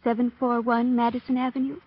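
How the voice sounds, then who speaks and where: A woman's voice: on the high side, flat delivery, fairly quick, close to an everyday voice. A woman acting as a poised, wealthy young client in an old radio detective drama, speaking politely and precisely. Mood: concerned, poised. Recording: muffled old radio recording.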